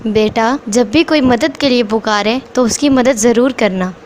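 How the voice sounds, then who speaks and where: A woman voicing a gentle, poor mother bird who soothes and earnestly advises, in a cartoon moral story. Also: a little high, measured pace, natural voice. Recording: faint background noise.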